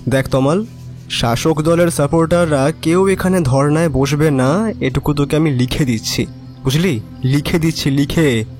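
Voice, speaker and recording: Actor as high-voiced, wry young local man. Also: normal speed, a little mellow, soft background music, close to an everyday voice. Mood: wry, cynical. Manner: colloquial, emphatic repetition, wry. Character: resigned, cynical young neighbourhood man chatting at tea stall. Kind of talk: Bengali audio drama acting.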